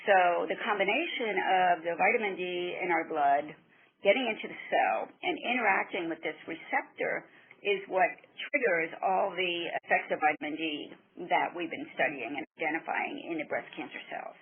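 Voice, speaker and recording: A woman research scientist being interviewed by telephone, explaining technical matters in a measured, careful way. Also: on the low side, slight background noise. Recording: muffled narrowband phone line.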